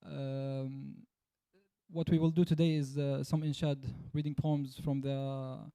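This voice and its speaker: A man from Syria, who chants devotional songs, giving a measured and polite introduction at a live event. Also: medium pitch, level tone, mellow, clean tone, dry recording. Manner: measured, polite, with short pauses.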